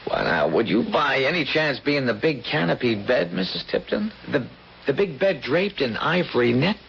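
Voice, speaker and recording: An actor playing a cynical criminal charmer in a radio drama, taunting and insinuating, on a dull, noisy old recording. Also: male voice, medium pitch, sing-song, normal speed, vocal fry, a bit bright. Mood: silky, seductive. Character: smooth, cynical, self-declared bad criminal charmer.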